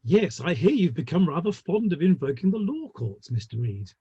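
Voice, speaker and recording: A man in a play reading, playing a genial, teasing Victorian theatre manager with a husky voice. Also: a little high, big pitch swings, moderate pace, warm tone, lo-fi sound, exaggerated voice. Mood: dry teasing.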